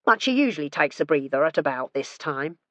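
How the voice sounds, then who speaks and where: A woman's voice: low voice, big pitch swings, a little fast, dry recording. A woman voicing a cheerful, chatty retired man proudly playing host in an audiobook. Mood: jovial. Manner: jovial, chatty.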